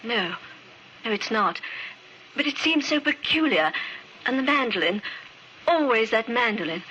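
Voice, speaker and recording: An actress playing an earnest, anxious married woman, halting and dramatic, in old radio drama style. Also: on the high side, lively intonation, pushed and bright, dull audio. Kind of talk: 1950s radio drama acting. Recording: old radio recording, faint noise.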